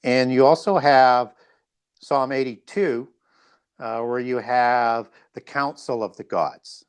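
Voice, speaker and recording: A man speaking as an interview guest, explaining in a scholarly but halting way and correcting himself as he goes. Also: mid-range voice. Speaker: guest author.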